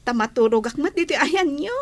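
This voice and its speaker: A woman's voice: high-pitched, lively intonation, fairly quick, darkish tone. A woman acting an easygoing teenage village schoolgirl in an Ilocano radio comedy drama. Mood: easygoing, admiring.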